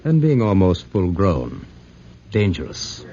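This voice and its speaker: An actor playing a wealthy, menacing big-game hunter in a formal, deliberate 1940s radio drama style. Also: male voice, low voice, huge pitch swings, warm tone, full character voice. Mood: smooth, ominous. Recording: muffled, noisy 1940s radio recording.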